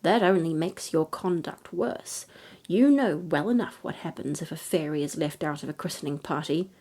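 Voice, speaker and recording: A woman voicing a wicked, rude old fairy in a commanding, deliberate storybook manner. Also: low-pitched, a little mellow. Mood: imperious, spiteful.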